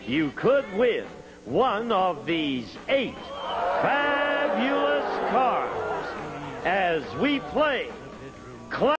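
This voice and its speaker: Booming male announcer over loud music. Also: very animated, a loud crowd. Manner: booming, drawn-out announcer delivery. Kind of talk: game show announcing.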